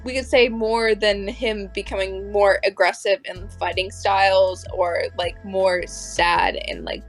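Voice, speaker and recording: Woman chatting haltingly over background music. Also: medium pitch, animated. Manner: hesitant, halting, circling back mid-thought. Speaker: podcast co-host. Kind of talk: casual fan discussion of an animated series.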